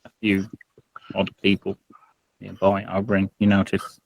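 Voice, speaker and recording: A man's voice: low-pitched, monotone, measured pace, gravelly, no echo, rough recording. A British man hosting and narrating a tabletop roleplaying game as game master, feeling his way through sentences with self-corrections. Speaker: game master and podcast host.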